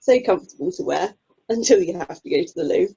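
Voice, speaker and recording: Actress as down-to-earth British club lady: soft-toned, easygoing, kindly chat, dull lo-fi call audio, amateur radio play. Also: lowish voice, lively intonation, fast, creaky, slightly rough, heavily acted. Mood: chatty. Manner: easygoing, complimentary. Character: kindly, chatty, down-to-earth drama club woman. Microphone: video call. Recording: muffled lo-fi video call audio.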